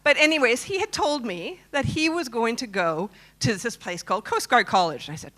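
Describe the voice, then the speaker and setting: A woman's voice: a little high, lively intonation, a little fast, a bit bright. Chatty Canadian woman telling a story on stage. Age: 50s. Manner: chatty, asks the audience questions. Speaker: conference speaker. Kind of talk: personal story talk to a live audience. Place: conference stage.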